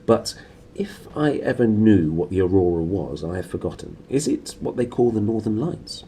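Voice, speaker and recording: Storybook part: doddery, courteous old churchman, played by a male reader, quavering mellow voice. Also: on the low side, sing-song, normal speed. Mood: politely puzzled. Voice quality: shaky. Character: forgetful old scholarly cleric, polite. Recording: clearly noisy room recording.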